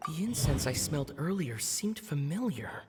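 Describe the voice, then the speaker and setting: An actor voicing a calm, methodical video game character who examines clues. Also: mid-range voice, normal speed, lightly acted. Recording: noisy audio with long reverb.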